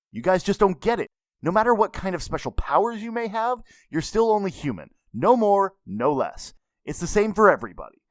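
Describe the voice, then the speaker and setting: A man's voice: sits high, no room sound, clean studio sound, pitched above the performer's own voice. A man voicing a cocky anime mentor who lectures bluntly and mockingly. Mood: scornful. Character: cocky, scolding adult anime mentor, a self-declared commoner.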